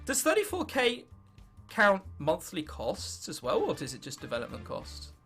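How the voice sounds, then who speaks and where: A man in his late teens with an English accent giving casual, sarcastic gameplay commentary, self-mocking and freely sweary, with an excitable delivery. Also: high voice, moderate pace, slightly rough, faint music behind. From England. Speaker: gaming video host.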